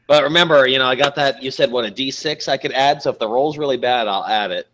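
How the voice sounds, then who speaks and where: A man's voice: mid-range voice, fast talker, a bit bright. A man playing a tabletop game, chatting casually as one of the players.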